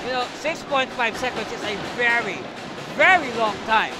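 A man calling a live basketball game from courtside, energetic and shouting on the big plays. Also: very high voice, moderate pace, loud music behind the voice. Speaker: play-by-play commentator. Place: courtside booth in a packed arena. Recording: noisy arena broadcast.

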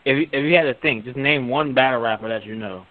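A man's voice: mid-range voice, fast talker, no room sound. A man hosting a podcast in casual chat, joking and ranting with emphasis. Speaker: podcast host. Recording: tinny phone-like audio.

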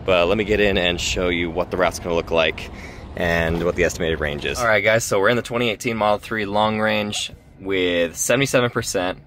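Deep-voiced man vlogging from his car. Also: fairly quick, fairly bright tone, slight background noise. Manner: chatty, upbeat, talks to viewers as "guys". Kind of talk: casual road trip vlog. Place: inside a parked or moving car.

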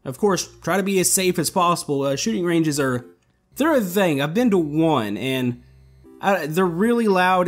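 Male gameplay host, slightly high voice, loose rambling tour, faint music bed. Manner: relaxed, rambling, many fillers and restarts. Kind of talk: casual walkthrough commentary. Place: voice-over while playing a building game.

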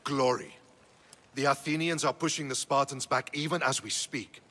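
An actor playing a grave, proud middle-aged Spartan general in a video game, measured and earnest. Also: male voice, mid-range voice, fairly even intonation, sharp tone. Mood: solemn, urgent. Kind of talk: video game cutscene acting.

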